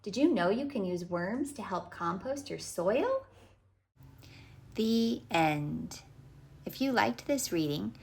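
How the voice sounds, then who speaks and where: A woman hosting a read-aloud as a children's author, narrating a picture book in a deliberate, animated way for young children. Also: mid-range voice, gravelly. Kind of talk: expressive children's storybook narration.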